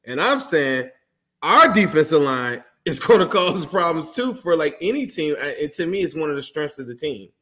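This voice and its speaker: A man's voice: on the high side, very animated, moderate pace, fairly bright tone. A man hosting a casual sports podcast, chatty and rambling as he asks long, enthusiastic questions. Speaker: podcast host.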